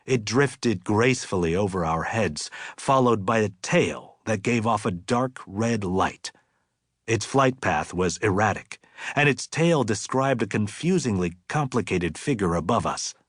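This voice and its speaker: A man reading literary fiction as an audiobook narrator, in a measured, deliberate and expressive way. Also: fairly low pitch, dry recording, clean studio sound.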